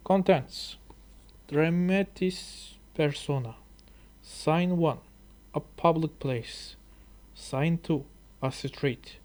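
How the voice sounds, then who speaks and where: A man from Turkey with a Turkish accent, a YouTuber learning English who talks and reads aloud hesitantly, with many pauses and fillers. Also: fairly high pitch, darkish tone. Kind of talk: halting casual reading vlog.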